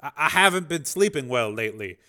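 A man voicing an anxious male scientist who stammers and lies under stress, his voice pushed high and loud. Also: very animated, fairly quick. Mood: manic. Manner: stammering, frantic, raised voice.

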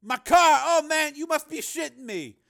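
A man's voice: very high-pitched, moderate pace, creaky, bright, forceful tone, pitched above the performer's own voice, full character voice. A man voicing an uneasy, wheedling street pimp with slangy, pleading talk in an audiobook. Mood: indignant, pleading.

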